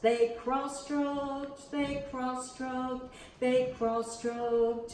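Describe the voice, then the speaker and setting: A woman hosting a children's storytime, reading aloud and leading counting rhymes in a slow, expressive, sing-song way. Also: mid-range voice. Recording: echoey room with faint background hiss.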